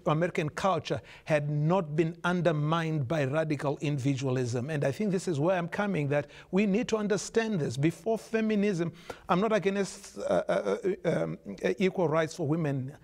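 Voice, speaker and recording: A man's voice: on the high side, moderate pace, darkish tone. A man from Zimbabwe, a guest author, speaking earnestly and emphatically, lecturing and often stumbling and repeating words. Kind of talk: studio talk show discussion.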